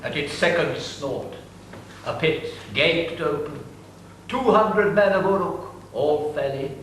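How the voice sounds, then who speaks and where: A man narrating an ancient epic in a dramatic reading, slow and deliberate. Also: fairly high pitch, expressive, a bit bright, a little hiss or hum. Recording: echoey room, distant mic.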